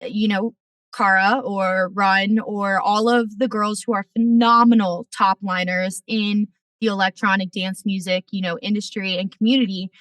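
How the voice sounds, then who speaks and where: A woman podcast host with a raspy voice, talking in an outspoken, emphatic way in casual conversation. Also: medium pitch, fairly even intonation, normal speed, fairly bright tone, dry recording, far from the mic. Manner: outspoken, swears freely, emphatic.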